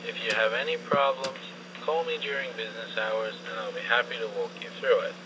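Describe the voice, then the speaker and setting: A man's voice: mid-range voice, steady tone, fairly quick, natural voice. An actor playing a helpful, easygoing tradesman leaving a casual voicemail in a game. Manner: casual, obliging. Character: helpful, easygoing tradesman, a security door installer. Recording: noisy, humming answering machine message.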